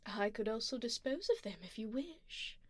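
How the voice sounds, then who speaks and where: A woman playing an aristocratic, predatory yet tender vampire duchess in an ASMR roleplay, speaking softly and formally and slipping into whispers. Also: fairly high pitch, expressive, moderate pace, no echo. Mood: poised, doting. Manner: refined, formal, gently commanding.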